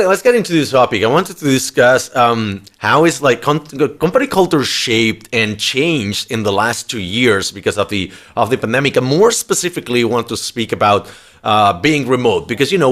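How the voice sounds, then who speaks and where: A man's voice: mid-range voice, expressive, normal speed, fairly bright tone. A man hosting a podcast interview, chatty and blunt, with frequent fillers and restarts. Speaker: podcast host.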